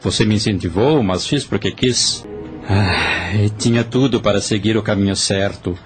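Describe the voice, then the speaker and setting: A remorseful spirit played by a man confesses earnestly in an audio drama. His voice is low and weary, with a Brazilian accent, and music sits behind it. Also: moderate pace, close to an everyday voice. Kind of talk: Portuguese-language audio drama acting.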